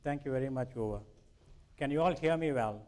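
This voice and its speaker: A middle-aged man with an Indian accent giving a public science lecture in an informal, self-deprecating way with lively emphasis. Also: medium pitch, normal speed.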